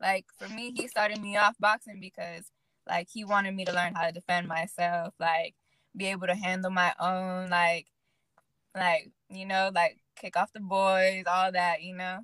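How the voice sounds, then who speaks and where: A woman's voice: fairly low pitch, measured pace, no room sound. A young woman from Illinois, a pro boxer chatting casually as a podcast guest, often saying "like". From Illinois, USA. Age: young adult. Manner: relaxed, fills speech with "like". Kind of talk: casual interview chat.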